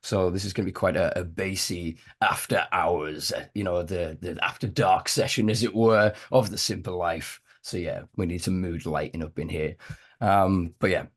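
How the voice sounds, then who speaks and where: A man hosting a casual podcast interview, hoarse and losing his voice, speaking slowly and quietly to spare it. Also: sits low, fairly even intonation. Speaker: podcast host.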